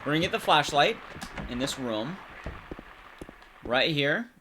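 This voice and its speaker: A man's voice: on the high side, sing-song, a little slow, noisy background. A man giving casual live gameplay commentary in a conversational way, explaining things to his audience. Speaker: streamer and commentator.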